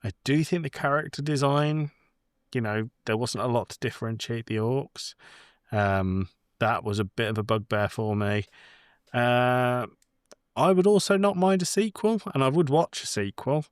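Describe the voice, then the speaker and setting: A man's voice: medium pitch, normal speed, smooth, no echo, clean studio sound. A man co-hosting a podcast in casual chat, playful and opinionated as he rambles along. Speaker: podcast co-host.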